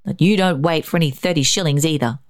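A woman voicing a blunt, gruff but soft-hearted old man in a narrated story. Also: deep voice, fast, studio quality, natural voice. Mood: gruffly relenting. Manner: blunt, brusque. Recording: roomy echo, faint music.

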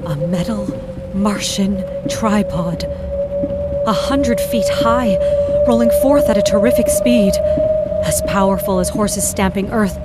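A grave woman recounting horrors in an audio drama. Her phrasing is terse, deliberate and suspenseful, in a middle register. Heavy music runs underneath. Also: medium pitch, sing-song. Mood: tense, urgent. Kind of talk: dramatic audio theatre narration.

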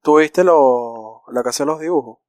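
A man's voice: mid-range voice, moderate pace, a little mellow, dry recording, clean studio sound. A man chatting casually on a podcast, with colloquial, slangy banter.

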